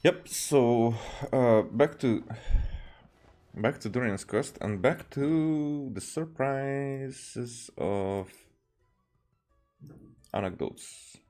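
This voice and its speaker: A man doing casual gameplay commentary as a streamer, with a halting, hesitant delivery. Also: mid-range voice, animated, mellow. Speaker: game streamer. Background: game music.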